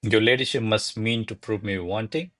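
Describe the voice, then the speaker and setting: A man's voice: on the low side, steady tone, normal speed, background noise, lightly acted. A man playing a diffident, formal male secretary who speaks deferentially to his lady in a radio play. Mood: humble, deferential. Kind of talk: stage verse drama read as radio play.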